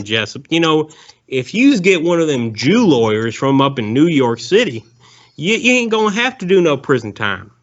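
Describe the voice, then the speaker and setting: A man's voice: mid-range voice, fairly bright tone. A man voicing a rambling, bigoted Southern ex-convict on a prank call, with a folksy drawl and calling everyone sir. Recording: dull lo-fi phone call.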